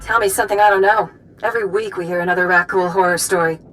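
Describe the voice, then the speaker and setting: A woman's voice: mid-range voice, fairly even intonation, a bit bright, faint music behind, lightly acted, low-quality recording. A woman playing a blunt, driven doctor. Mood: defiant. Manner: brisk, blunt, businesslike.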